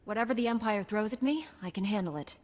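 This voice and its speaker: A woman's voice: medium pitch, fast talker, darkish tone, lightly acted. An actress playing a self-assured heroic woman knight in a video game, speaking firmly and directly. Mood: confident. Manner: firm, composed, direct. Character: resolute, self-assured female Jedi knight hero. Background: game music.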